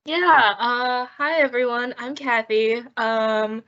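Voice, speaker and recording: A woman's voice: fairly high pitch, steady tone, takes it slowly, sharp tone. A woman in her twenties from New Jersey, a student intern introducing herself in an eager, bubbly way. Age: 20s. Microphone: video call. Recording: muffled video call audio.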